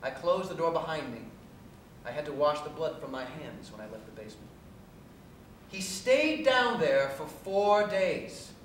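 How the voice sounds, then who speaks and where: A man performs a story for a live stage reading, recorded at a distance in a very reverberant space with faint noise beneath. His voice sits a little high, and he tells the story in a deliberate, measured way. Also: very animated. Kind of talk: live dramatic reading of a first-person story. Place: theatre stage at a live cabaret, recorded from afar.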